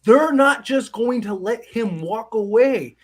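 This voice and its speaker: High-voiced man, rambling horror chat. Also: slow. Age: 30s. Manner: rambling, animated, restarts sentences. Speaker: co-host. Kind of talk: live-stream horror movie chat.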